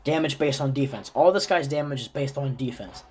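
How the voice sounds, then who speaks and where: A man with a slightly raspy, stuffy voice from a cold, casually explaining a game character's build step by step. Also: mid-range voice, fairly quick, a little hiss or hum. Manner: explains step by step, relaxed and chatty. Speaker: gaming video creator. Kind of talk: casual game guide commentary.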